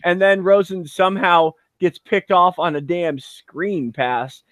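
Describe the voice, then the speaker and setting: A man co-hosting a casual sports podcast, excitable and jokey, swearing as he recaps the game. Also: sits high, unhurried, no echo. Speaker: podcast co-host.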